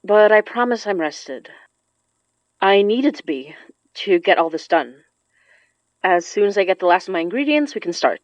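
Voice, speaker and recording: An actress playing a young woman who works magic, tired, wry and protective, with a brisk, matter-of-fact delivery in a naturalistic audio drama. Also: medium pitch, expressive, dry recording, highs cut off, close to an everyday voice. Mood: defensive, weary.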